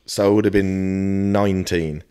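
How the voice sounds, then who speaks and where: Deep-voiced British man, blunt and sweary. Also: level tone, unhurried, dark tone, smooth. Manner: blunt, sweary, self-deprecating. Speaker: podcast guest, fitness coach. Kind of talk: casual chat.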